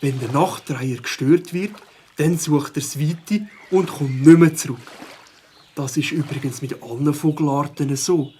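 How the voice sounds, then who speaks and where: A man's voice: fairly high pitch, unhurried, warm tone, slight background noise, lightly acted. A man with a Swiss accent playing a worried, earnest bird expert in a children's audio drama.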